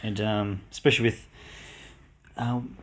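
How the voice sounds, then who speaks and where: A man's voice: on the low side, little pitch movement, unhurried, fairly bright tone. A man co-hosting a podcast in casual chat, mostly giving short agreeing replies and hesitating with ums. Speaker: podcast co-host.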